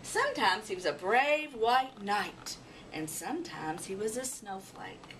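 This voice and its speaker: A woman narrating a children's storybook in an expressive, dramatic way. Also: medium pitch, a little slow. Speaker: storybook reader. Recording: distant mic, faint background noise.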